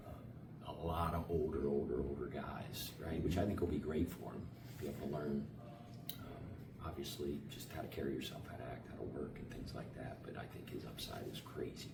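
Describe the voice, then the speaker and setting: Very deep-voiced coach in an echoey room. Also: male voice. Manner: loose and energetic, full of fillers and slang. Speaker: head basketball coach. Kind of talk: casual interview answers. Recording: echoey room, far from mic, with background noise.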